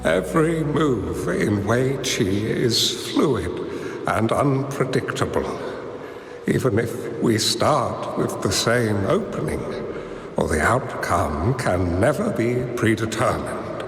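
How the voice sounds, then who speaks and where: An actor playing a wise, ailing old hermit strategist in a video game, speaking in a measured, reflective, solemn way. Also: male voice, lowish voice, lively intonation. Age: older. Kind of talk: dramatic video game voice acting. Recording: echoey hall reverb under music.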